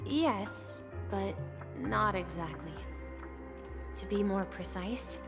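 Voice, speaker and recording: A woman voicing a gentle young boy in a video game, telling his story in a measured, wistful and earnest way. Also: medium pitch, vocal fry. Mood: nostalgic, gentle. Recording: long hall reverb, music underneath.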